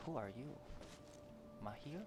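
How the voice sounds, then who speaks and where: A woman's voice: rumbling low, expressive, a little slow, background noise. A woman game streamer reacting casually to what she plays. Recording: game music clearly behind the voice. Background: video game music.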